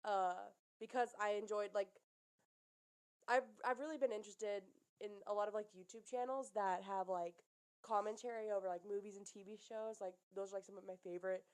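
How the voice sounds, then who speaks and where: A young woman co-hosting a casual podcast, animated, with a loud laugh. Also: mid-range voice, brisk, clear, resonant tone. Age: young adult. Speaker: podcast co-host. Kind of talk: casual chat.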